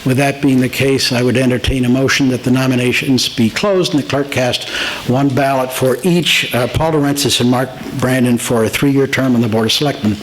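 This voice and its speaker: A man moderating a town meeting, brisk, formal and procedural. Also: medium pitch, fairly even intonation. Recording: crowded hall with clear crowd noise.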